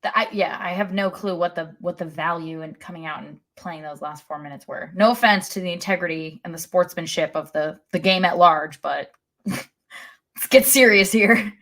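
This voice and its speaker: A woman's voice: fairly low pitch, moderate pace, a bit bright. A woman co-hosting a casual sports podcast, talking with wry, sarcastic asides. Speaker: podcast co-host.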